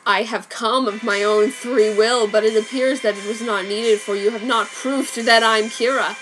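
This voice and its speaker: A woman voicing a cocky young male villain in a fan fiction reading, calm and self-assured. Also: fairly high pitch, moderate pace, slight background noise, natural voice, low-quality recording. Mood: cool.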